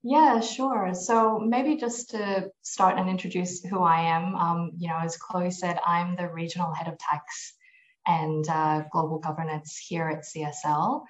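A woman's voice: on the low side, steady tone, a little slow, reverberant, low-quality recording. A woman guest on a podcast interview, answering thoughtfully with frequent ums and restarts. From grew up in Malaysia, US and New Zealand. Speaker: podcast guest, tax executive.

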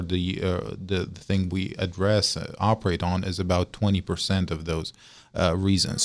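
A man explaining things in a hesitant, measured way as a guest in a radio interview. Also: sits low. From Syria. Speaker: guest, vascular surgeon.

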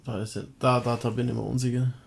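A man's voice: medium pitch, level tone, fast talker, creaky. A man chatting casually on a podcast, hesitant and repeating words as he starts his sentences. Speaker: podcast participant. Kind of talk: casual chat.

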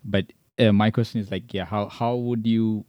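A man's voice: fairly low pitch, fairly even intonation, normal speed, no room sound, lo-fi sound. A man hosting a podcast interview, asking long, rambling questions with many restarts and backing his guest with encouraging murmurs. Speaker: podcast host.

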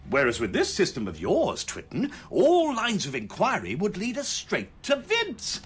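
An actor playing a dim, amiable, pompous middle-aged English police inspector, hesitant and blustering, in a radio comedy style. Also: male voice, high voice, huge pitch swings, moderate pace, fairly bright tone, slightly rough, full character voice. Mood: earnest, pleased. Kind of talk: British radio comedy acting.

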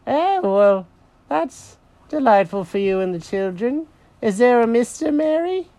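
Fussy male cat for a children's tale, played by a narrator: high-pitched, prim, fretful, very expressive. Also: a little slow, dry recording. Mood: polite. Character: fussy, sensitive, polite male cat named Jenkins.

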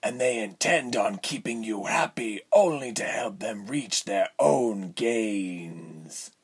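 A man voicing a condescending villain lord in a fantasy story, measured and smooth in manner with a breathy, husky tone. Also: mid-range voice, expressive, voice pushed up, exaggerated voice. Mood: persuasive. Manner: measured, silky, haughty.

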